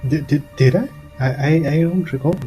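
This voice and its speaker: A man's voice: on the high side, normal speed, mellow, faint music behind, close to an everyday voice. A man playing a cowardly, bumbling professor in an audio drama, stammering and evasive. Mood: flustered, nervous. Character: cowardly vain male professor, bumbling and flustered.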